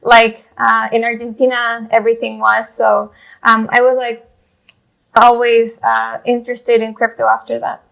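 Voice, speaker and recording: A woman's voice: fairly high pitch, monotone, a little slow, vocal fry, fairly bright tone. A woman in her thirties from Chile, a journalist guest telling her story in a rambling way, full of fillers and restarts. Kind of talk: casual interview talk.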